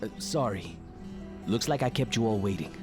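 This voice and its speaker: An actor playing a reserved young former soldier in a video game, recounting things in a subdued, hesitant way. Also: male voice, lowish voice, lively intonation, normal speed, over music, natural voice. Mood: apologetic. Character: reserved, brooding young ex-soldier man telling his past. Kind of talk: video game character narration.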